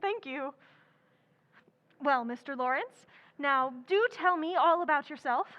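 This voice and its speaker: A woman's voice: sits high, lively intonation, measured pace, highs cut off. An actress playing an exuberant, chatty, impulsive teenage girl in old-time radio drama style, with gushing, theatrical delivery. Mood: eager, chatty.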